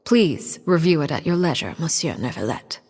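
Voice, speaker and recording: An actress playing a poised, cold female diplomat and matriarch in a video game, speaking calmly and precisely. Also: low voice, moderate pace, slightly rough, long reverb tail, full character voice. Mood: polite. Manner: calm, precise, quietly commanding.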